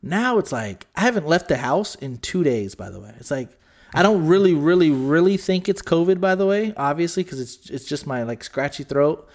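A man's voice: a little high, animated, moderate pace, darkish tone. A man co-hosting a casual podcast chat, congested with a scratchy throat, rambling with lots of restarts and casual swearing. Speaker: podcast co-host.

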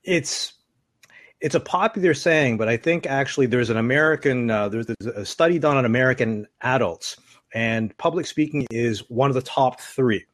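A middle-aged man from Canada, an author on public speaking, answering interview questions in a measured, explanatory way. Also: dry recording, clean studio sound. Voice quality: deep voice. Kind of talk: interview guest.

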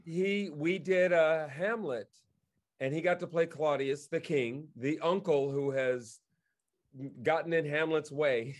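A man's voice: on the high side, slow. A man co-hosting a casual interview podcast, talking in an effusive, exclamatory way. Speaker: podcast co-host, stage actor.

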